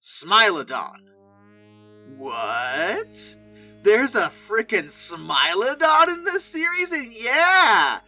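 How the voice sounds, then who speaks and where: Video commentary host: bright, crisp-edged delivery, emphatic and opinionated, conversational asides, music underneath. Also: medium pitch, big pitch swings, very slow. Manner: opinionated, emphatic, repeating phrases for stress. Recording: music playing behind the voice. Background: background music.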